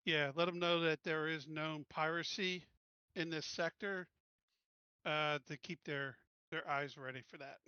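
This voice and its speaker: A man's voice: a little high, fairly even intonation, a little slow, pushed and bright, clear, resonant tone, dry recording, muffled recording. A man playing a tabletop roleplaying game, casually talking through his plans and instructions. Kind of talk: casual in-game planning talk.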